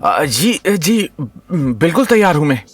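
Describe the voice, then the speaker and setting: A man's voice: a little high, big pitch swings, measured pace. A man playing a shy, nervous young husband who hesitates and stammers in an audio drama. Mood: flustered. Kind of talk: Hindi audio drama acting. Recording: music behind the voice.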